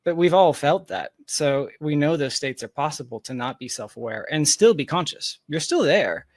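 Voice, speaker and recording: A man's voice: fairly high pitch, expressive, moderate pace, dry recording, studio quality. A man in a casual, reflective conversation, thinking aloud in an informal, philosophical way. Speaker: podcast guest, tech founder.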